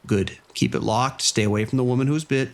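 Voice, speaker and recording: A man playing a firm, steady police sergeant. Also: medium pitch, natural voice. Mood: steady, commanding. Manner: firm, measured instructions. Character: calm, steady male police sergeant on the phone. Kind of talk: audio drama acting.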